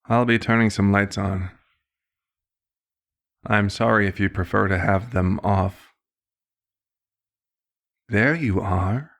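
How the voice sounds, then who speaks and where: A man playing a courteous elder vampire doctor in an ASMR roleplay, speaking formally and with measured, concerned authority, in a gravelly, husky voice. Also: fairly low pitch. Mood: wary, polite. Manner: formal, measured, polite wording. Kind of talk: ASMR roleplay, scripted one-sided dialogue.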